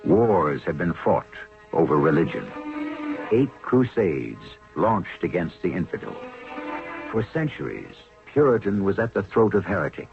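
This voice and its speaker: Very low male voice, gravelly, solemn radio drama host, muffled old broadcast, faint music. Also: very animated, a little slow. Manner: solemn, theatrical storytelling. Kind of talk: 1970s radio drama narration, scripted.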